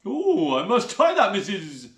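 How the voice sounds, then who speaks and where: A man's voice: sits high, wildly swooping pitch, measured pace, pitched above the performer's own voice, full character voice. A man voicing a greasy, fawning, lonely rat catcher with a smarmy manner. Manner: oily, smarmy. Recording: roomy echo.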